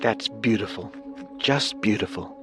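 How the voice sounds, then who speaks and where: An actor playing a predatory young man in a true crime radio drama, coaxing and flattering with menace. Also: lowish voice, a little slow, soft background music, lightly acted. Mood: creepy, controlling.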